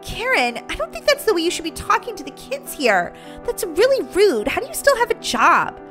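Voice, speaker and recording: A woman's voice: extremely high pitch, wildly swooping pitch, moderate pace, a bit bright, faint music behind, exaggerated voice. A woman voicing a kindly mother unicorn, teasing and playfully scolding, in a children's pretend-play story. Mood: indignant.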